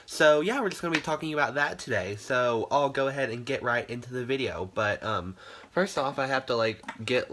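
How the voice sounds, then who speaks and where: Young man, stuffy nose, nasal, bright tone, casual vlog chatter, faint background noise. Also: mid-range voice. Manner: chatty, many "like" fillers. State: nasally congested. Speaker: candle channel host. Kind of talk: casual product review vlog.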